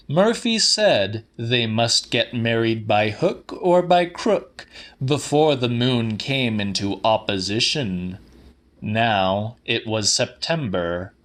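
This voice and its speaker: Casting: male literary narrator, dramatic delivery, unhurried pacing and lively, swooping intonation. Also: on the low side. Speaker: audiobook narrator.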